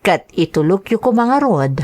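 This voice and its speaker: Ilocano woman narrator with a swooping, lively delivery. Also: medium pitch, normal speed. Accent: Filipino, Ilocano. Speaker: radio drama host and narrator. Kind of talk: reading greetings and a listener's letter.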